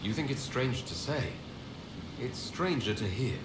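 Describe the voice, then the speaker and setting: An actor playing a sincere, steady leader of a fantasy order in a game, direct and attentive. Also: male voice, medium pitch, a little slow, reverberant, noisy background, natural voice. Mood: curious.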